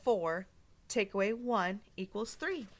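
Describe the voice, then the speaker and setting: A woman's voice: mid-range voice, expressive, takes it slowly, a little hiss or hum. A woman teacher doing an interactive read-aloud for young children, explaining simply, asking questions and reading expressively.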